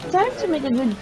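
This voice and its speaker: A woman gamer reading a visual novel's text aloud with casual asides, in a halting way that often repeats words. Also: high-pitched. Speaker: gameplay video maker. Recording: loud music behind the voice. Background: game soundtrack with piano.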